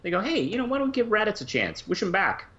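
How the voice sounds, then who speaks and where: A man co-hosting a casual review podcast, conversational and halting as he thinks aloud, on slightly lo-fi audio. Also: sits high, big pitch swings, a bit bright. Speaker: podcast co-host.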